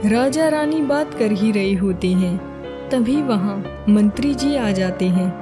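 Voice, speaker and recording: A woman's voice: medium pitch, lively intonation, measured pace, music in the background. A woman narrating a scripted moral story as a storybook narrator.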